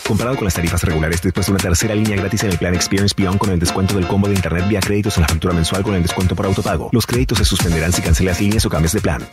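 Male ad voice, low and extremely rapid, loud music. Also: fairly even intonation. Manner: brisk, rushed disclaimers. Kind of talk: rapid ad read.

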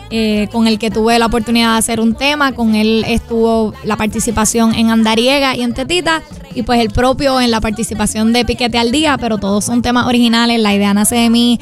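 A young woman with a Puerto Rican accent, talking in an informal, flowing way as a singer-songwriter in a radio interview. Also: fairly high pitch. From Puerto Rico. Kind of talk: conversational promotional radio interview.

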